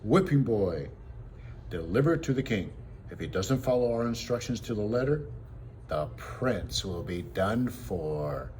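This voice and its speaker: A man voicing a big, hairy outlaw in a storybook, suspicious and blustering, with a rough, exclamatory way of talking. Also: on the low side, animated, unhurried, a little mellow, slight background noise. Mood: commanding.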